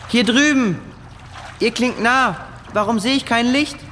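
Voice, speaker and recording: A male actor playing a calm, hopeful boy adventurer in a children's audio drama. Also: extremely high pitch, unhurried, heavily acted. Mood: calm, encouraging. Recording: reverberant cave effect, faint water noise.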